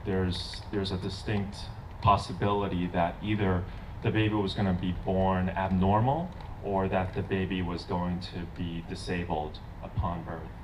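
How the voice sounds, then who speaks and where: A man's voice: low-pitched, fairly even intonation, a little slow. A young man interpreting his mother's wedding speech into English, hesitant and searching for words. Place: outdoor garden wedding. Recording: distant mic, noisy outdoors.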